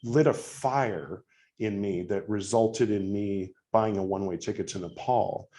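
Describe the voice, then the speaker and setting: A man's voice: lowish voice, a little slow, mellow, a little hiss or hum. A man from California hosting a podcast, talking casually and hesitantly with many restarts. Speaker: podcast host. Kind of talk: casual conversation.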